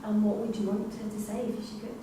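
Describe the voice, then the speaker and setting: A woman's voice: medium pitch, level tone, quick pace, natural voice. A woman playing a firm, exasperated prison writing-class teacher in a staged drama reading. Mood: probing, calm. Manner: instructing, insistent, schoolteacherly. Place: live theatre reading in a pub venue. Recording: echoey hall, distant mic, noisy.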